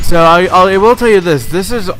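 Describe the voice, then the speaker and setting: Slightly hoarse man commenting on gameplay. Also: sits high, fairly bright tone, poor audio. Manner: rambling, many "um" fillers. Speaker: let's play host. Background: game music.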